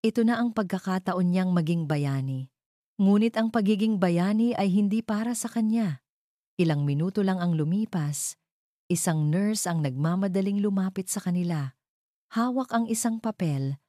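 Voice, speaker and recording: A woman's voice: medium pitch, normal speed, mellow, no room sound, studio quality. A woman reading dramatic scripted narration for an audio drama.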